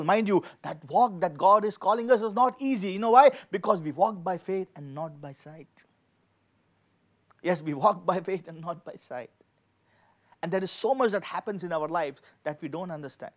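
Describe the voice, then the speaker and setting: A man in his forties preaching a sermon as a pastor, emphatically, repeating key phrases for effect. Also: high-pitched. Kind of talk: sermon with scripture reading and prayer. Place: indoor studio with dark backdrop, at a lectern. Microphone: handheld microphone.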